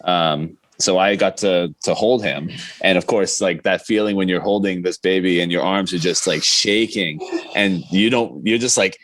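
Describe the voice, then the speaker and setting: Young male podcast guest, low-pitched, conversational storyteller, very dry sound. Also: moderate pace, fairly bright tone, dry recording. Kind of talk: casual chat, personal storytelling.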